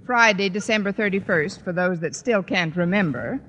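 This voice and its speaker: An actress playing a sardonic schoolteacher who makes dry wisecracks, in the style of 1940s radio comedy. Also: female voice, medium pitch, normal speed, in a large hall, lightly acted. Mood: wry, sardonic. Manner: dry, sarcastic, deadpan wisecracks. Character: wry, sardonic unmarried woman English teacher. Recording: very noisy old radio recording.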